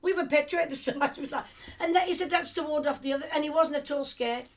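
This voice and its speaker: A woman's voice: high voice, fast talker. An older woman of Cypriot family raised in England, telling rambling, reflective stories of family and the island. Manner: rambling, reflective storytelling with restarts. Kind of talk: reminiscing conversation.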